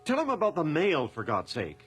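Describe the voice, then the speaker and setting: An actor playing a blustering, protective middle-aged father in a radio drama, argumentative and forceful. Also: on the high side, wildly swooping pitch, brisk, breathy edge, faint music behind, full character voice.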